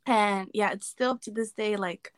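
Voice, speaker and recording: A woman's voice: mid-range voice, steady tone, unhurried, no echo. A woman from the Bronx, New York, talking casually as a podcast guest and slipping Spanish phrases into her English. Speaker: podcast guest, catering business owner. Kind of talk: casual interview chat.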